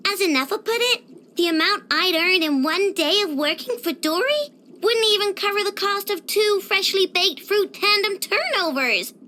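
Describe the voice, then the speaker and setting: A woman's voice: very high-pitched, lively intonation, normal speed, sharp tone, a little hiss or hum, heavily acted. A woman voicing a cheerful, proud young girl inventor in a video game, with a bubbly, boastful, childlike delivery. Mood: chatty, indignant. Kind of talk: scripted video game character voice lines.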